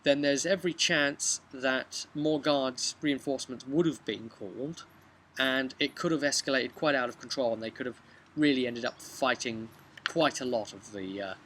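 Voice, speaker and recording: A British man in his thirties casually retelling a tabletop role-playing session, halting in places and animated in others, recorded outdoors on a camera microphone. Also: medium pitch, low-quality recording. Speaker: game master recapping a session. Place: outdoors, on a bench by a house. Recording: outdoor webcam with background noise.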